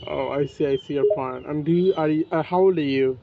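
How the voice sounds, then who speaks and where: A man in his twenties practicing conversation, speaking in halting, broken phrases. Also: on the high side, creaky. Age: 20s. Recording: muffled with faint background noise.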